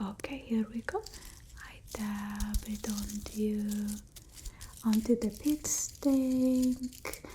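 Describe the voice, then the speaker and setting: A woman's voice: sits high, dark tone. A woman voicing a haughty, fussy but doting vampire mistress in a whispered ASMR roleplay, with a put-on Eastern European accent and an imperious, teasing murmur.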